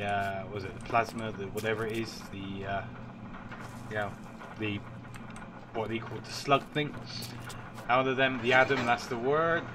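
A man hosts a casual gaming video, sounding congested from a cold. His medium-pitched commentary rambles and hesitates, with the odd swear word. Game ambience sits noisily behind him. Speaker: gaming channel host. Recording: noisy track with game ambience.

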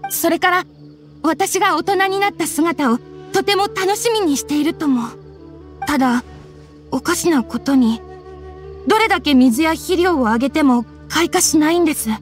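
High-voiced, earnest young woman. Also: animated, normal speed. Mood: wistful, earnest. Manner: polite, soft-spoken formal phrasing. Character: earnest, polite young woman grieving her teacher. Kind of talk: Japanese anime game voice acting. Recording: studio voice over game music.

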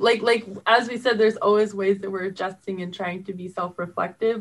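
Woman chatting as an interview guest, normal mid pitch, laid-out casual talk.

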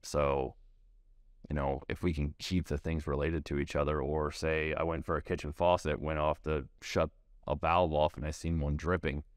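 A man's voice: rumbling low, little pitch movement, normal speed, no room sound, studio quality. A man in his thirties, a plumbing salesperson, explaining his work in a practical, detailed way and often repeating words as he thinks. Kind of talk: casual interview answers. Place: TV studio set.

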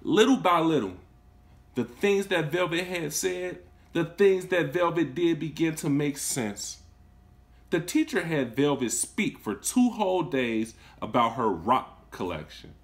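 A man in his thirties, a kindergarten teacher, reading a picture book aloud to children in a small room, close to a phone camera. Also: measured pace. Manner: expressive storytelling with lively swings in pitch and volume; warm, encouraging talk to young children. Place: small indoor room, seated on a couch.

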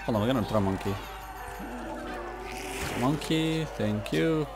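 A man's voice: mid-range voice, animated, measured pace. A man giving casual, laid-back gaming commentary, muttering to himself as he plays. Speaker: gamer narrating own gameplay. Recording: game music and gunfire behind. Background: zombie shooter game music and gunfire.

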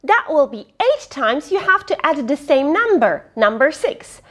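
A middle-aged woman reads to young children in a high voice. Her intonation swings widely and she takes her time, pausing to question and explain like a teacher. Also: big pitch swings, fairly bright tone. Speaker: children's storyteller, host. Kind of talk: animated picture-book read-aloud with asides to children. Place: small indoor fabric tent with cushions.

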